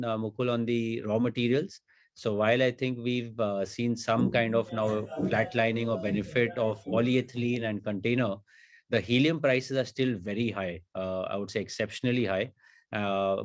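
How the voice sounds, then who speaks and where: A man speaking as a company executive, answering questions on an earnings call in a measured, halting way with repeated words. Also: medium pitch.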